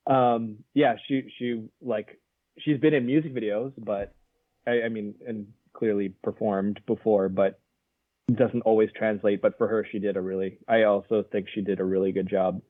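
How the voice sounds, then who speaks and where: A man's voice: fairly low pitch, fairly even intonation, moderate pace, no room sound. A man co-hosting a casual film review podcast, rambling with lots of fillers and frequent laughs. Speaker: podcast co-host. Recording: narrow phone-like sound.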